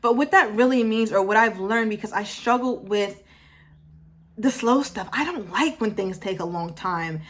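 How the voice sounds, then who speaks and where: A woman's voice: mid-range voice, normal speed. A woman in her twenties giving a casual motivational talk to her viewers, emphatic, driving points home through repetition and stopping to correct herself. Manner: emphatic, repeats phrases for effect, self-correcting. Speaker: motivational vlogger.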